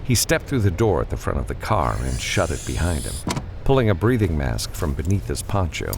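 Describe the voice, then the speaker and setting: Deep, gravelly male audiobook narrator. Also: sing-song, moderate pace, noisy background. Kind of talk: scripted fiction narration.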